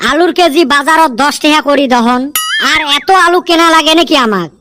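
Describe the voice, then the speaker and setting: A woman's voice: sits high, moderate pace, noisy background, lightly acted. A woman voicing a lazy, wisecracking village schoolboy in a comedy cartoon, with a western Assam accent. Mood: cheeky. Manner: wisecracking, grumbling.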